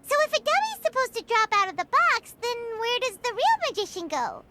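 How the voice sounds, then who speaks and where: A woman voicing a tiny, chirpy female fairy companion in a video game, with a squeaky, bouncy, childlike voice. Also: huge pitch swings, a little fast, very noisy, exaggerated voice. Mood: curious. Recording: game audio with music underneath.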